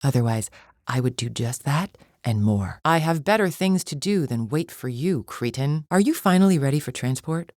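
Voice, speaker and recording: A woman's voice: a bass voice, expressive, moderate pace, a little mellow, no echo, clean studio sound. An actress playing a proud, disdainful alien arena champion in a video game, a cold warrior woman with stiff, formal, haughty diction. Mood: disdainful, impatient. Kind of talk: scripted video game character lines.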